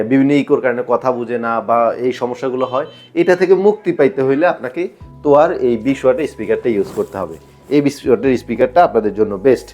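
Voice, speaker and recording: A man's voice: mid-range voice, a little mellow. A man with a Bangladeshi accent giving an informal, explanatory product showcase to viewers as a shop presenter, often repeating his points. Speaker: shop presenter selling sound equipment.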